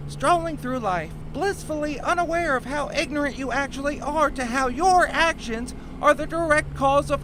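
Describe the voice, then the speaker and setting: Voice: high voice, noisy background. An actor voicing an indignant, precocious country boy with a wordy, melodramatic manner in an audio drama. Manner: melodramatic, wordy, folksy rural grammar.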